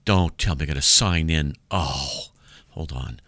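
A man with a deep voice hosting a call-in radio show, joking and sarcastic as he reads out mail. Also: unhurried, sharp tone, dry recording.

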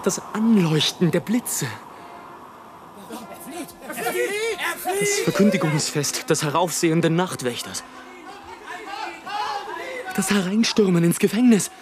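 A man's voice: high voice, big pitch swings, unhurried, pauses often, a crowd in the background, noisy background. An actor playing a fervent airship adventurer in a radio play, declaiming his flight in poetic, theatrical phrases. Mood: frantic exaltation.

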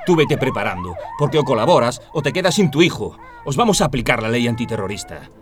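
An actor playing a harsh, contemptuous police officer in a radio drama, barking orders during a night raid. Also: male voice, mid-range voice, big pitch swings, fast talker, close to an everyday voice. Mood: menacing. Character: harsh bullying male police officer, middle-aged.

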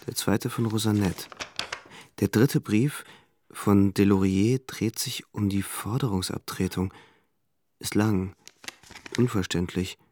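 An actor playing a self-absorbed, defensive young romantic in a radio drama, moving between dialogue and inner monologue. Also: male voice, fairly low pitch, steady tone, takes it slowly, long pauses, dark tone, slight background noise. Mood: musing. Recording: music underneath. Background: music.

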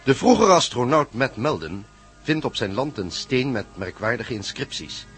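A man's voice: mid-range voice, very animated, moderate pace. A man narrating a radio drama recap in a scripted style. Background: soft music.

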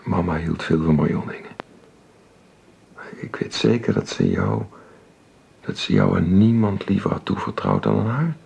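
A man playing a gentle, hesitant widowed father in a Dutch radio drama. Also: low-pitched, lively intonation, a little slow, gravelly, long pauses, breathy edge, highs cut off, heavily acted. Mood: tender. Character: tender widowed father talking to his little daughter.

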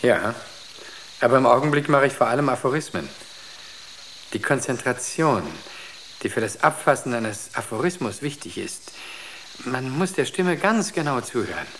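An actor in a German radio drama playing an entranced artist who speaks with solemn, rapt conviction. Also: male voice, mid-range voice, animated, normal speed, fairly bright tone, a little hiss or hum. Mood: absorbed. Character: entranced male artist, serenely devoted to an inner voice.

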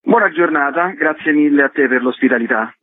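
A man's voice: fairly high pitch, a little fast, no room sound. A man guest in an interview, explaining his work in an articulate, courteous way. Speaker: theatre director, interview guest. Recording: thin phone line on radio.

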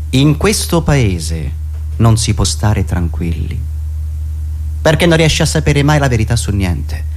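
A man from Italy performing a theatrical comic monologue as a satirist, dramatic and emphatic, with drawn-out pauses. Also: medium pitch, a little mellow.

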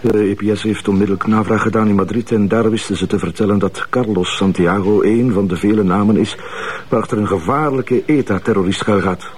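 Businesslike policeman, fairly deep, Flemish accent, matter-of-fact reporting, TV crime series. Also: steady tone, fairly quick, husky. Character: businesslike, dutiful male police officer. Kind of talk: naturalistic TV crime drama acting.

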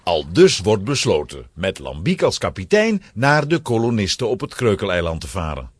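A man's voice: on the low side, big pitch swings, normal speed, breathy edge, no room sound, clean studio sound. A man narrating a children's audio play in a scripted storytelling style.

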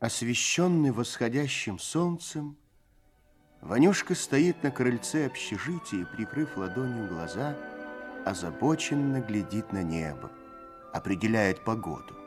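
An actor narrating a Soviet radio play in a lyrical, literary way, with measured, expressive phrasing. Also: male voice, mid-range voice, over music, natural voice. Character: male storyteller, lyrical and unhurried.

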